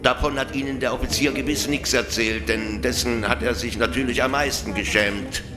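A man's voice: medium pitch, fairly even intonation, normal speed, a crowd in the background, lightly acted. A man acting a talkative soldier in a radio play, gossiping in a mocking, conspiratorial way. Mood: scornful gossip. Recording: clearly noisy background ambience.

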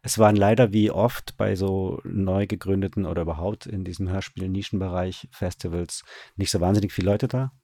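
A man's voice: low voice, fairly even intonation, fairly quick, few pauses, mellow, no room sound, studio quality. A man answering interview questions as a radio drama director, conversational and often searching for words with repeated starts.

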